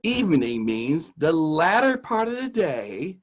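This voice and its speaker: A man's voice: a little high, sing-song, measured pace. A man hosting a casual vocabulary lesson as a teacher, chatty and jokey. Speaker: host, music teacher.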